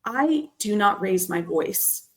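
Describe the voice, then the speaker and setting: Woman host, 30s, candid storyteller, lowers voice for emphasis. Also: animated. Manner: candid, storytelling, drops voice low and slow for emphasis. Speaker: podcast host. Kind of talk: casual personal chat.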